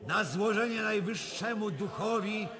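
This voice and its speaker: A man's voice: high voice, measured pace. An actor playing a solemn, exalted king, declaiming ceremonially in a radio drama. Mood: solemn exaltation. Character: solemn, exalted king addressing his nation. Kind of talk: theatrical radio drama oratory. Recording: crowd behind the voice.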